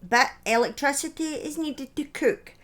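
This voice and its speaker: A woman telling a true-crime story in a conversational, partly scripted way, with informal asides, opinions and halting phrasing. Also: high voice, fairly bright tone.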